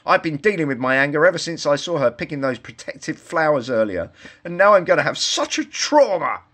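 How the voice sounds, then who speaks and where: Man acting a melodramatic, excitable woodchopper. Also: on the high side, a little fast, pitched above the performer's own voice. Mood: aggrieved. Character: bossy, excitable male woodchopper turned self-pitying agent.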